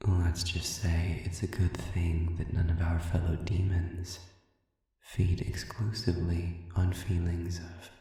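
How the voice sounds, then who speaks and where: Casting: male actor as sadistic demon for audio roleplay, dark mellow low voice, deliberate and coaxing, in a cavernous reverberant space. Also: far from the mic. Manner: slow, deliberate, breathy murmur with long pauses. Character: sadistic manipulative male demon, smooth-talking and falsely humble. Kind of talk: intimate audio roleplay monologue. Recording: cavernous reverb, voice set back.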